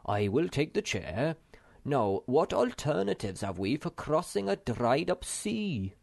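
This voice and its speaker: Guy doing pompous bossy know-it-all, loud, a bit higher, gravelly. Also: sing-song, a little slow, full character voice.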